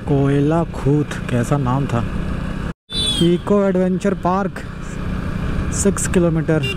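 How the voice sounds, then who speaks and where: A young man giving casual, excited running commentary to viewers while riding a motorbike outdoors. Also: fairly high pitch. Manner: excited, chatty, talks to viewers. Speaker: travel vlogger. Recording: road and traffic noise behind.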